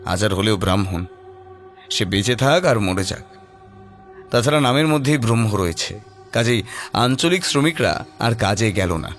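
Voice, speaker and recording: A man's voice: lowish voice, animated, normal speed, pauses often, soft background music. A man narrating a scripted story in a dramatic storytelling style.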